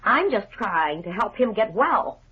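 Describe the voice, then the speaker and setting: An actress playing a self-assured, pert young woman in a 1950s radio detective drama, with a slightly husky voice. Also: mid-range voice, sing-song, measured pace, heavily acted. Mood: defiant, cool. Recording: dull, lo-fi vintage 1950s radio recording.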